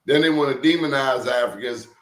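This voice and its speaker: A man's voice: mid-range voice, brisk. Emphatic male host in an echoey room. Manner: emphatic, preachy storyteller. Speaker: show host. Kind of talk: casual interview talk.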